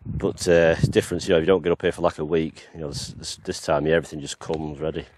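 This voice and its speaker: A man with a very deep, low voice talks his way around his allotment and garden. His delivery is relaxed and rambling, with plenty of ums and you-knows. He is outdoors, filming on a handheld camera. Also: quick pace, no echo. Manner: relaxed, rambling, lots of fillers. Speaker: gardening vlogger. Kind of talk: casual walk-around garden tour.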